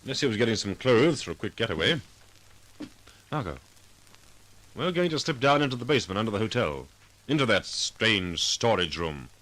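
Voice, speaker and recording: A man's voice: fairly low pitch, big pitch swings, normal speed, pauses often, a bit bright, rough recording. An actor playing a bold, cool-headed male sleuth in a clipped, authoritative 1940s radio drama style. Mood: resolute. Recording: vintage 1940s radio recording.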